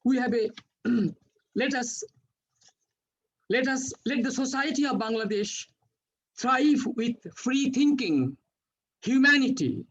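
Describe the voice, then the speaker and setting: High-pitched bengali-accented guy, solemn speech, kinda muffled audio. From West Bengal, India. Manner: earnest, solemn, with halting restarts. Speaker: webinar speaker. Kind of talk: formal prepared speech.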